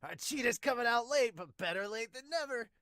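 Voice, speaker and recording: Brash teenage male athlete, played by a man, pushed high and shouting, for an excitable manga dub. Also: a little fast, no room sound, studio quality, full character voice. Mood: fired up.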